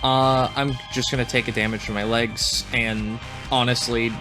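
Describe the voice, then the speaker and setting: A man who is a player in a tabletop game, improvising descriptions of his character's actions haltingly. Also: medium pitch, little pitch movement, music in the background.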